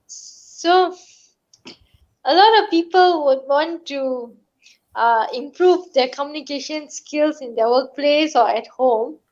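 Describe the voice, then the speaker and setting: A woman co-hosting a live online chat, asking slow, hesitant questions with many pauses. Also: high voice, a little mellow, highs cut off.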